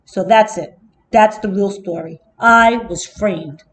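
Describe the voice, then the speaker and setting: A woman's voice: mid-range voice, slow pace, pitched below the performer's own voice, lightly acted. A woman voicing a self-justifying, slyly innocent male wolf who tells his side of a children's story with chatty, dramatic indignation. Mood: aggrieved, pleading. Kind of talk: first-person children's storybook narration.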